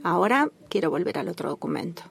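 A woman's voice: fairly low pitch, very animated, gravelly. Woman interpreting a formal meeting. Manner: measured and formal, with small restarts. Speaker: simultaneous interpreter. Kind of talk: interpreting a formal working-group call.

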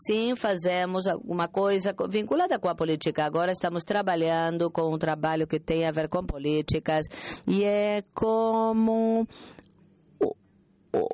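A woman working as a conference interpreter, interpreting a talk with an uneven pace that rushes and then slows, pausing and restarting often. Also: fairly low pitch, a little mellow. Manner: uneven pace, alternately rushing and slowing.

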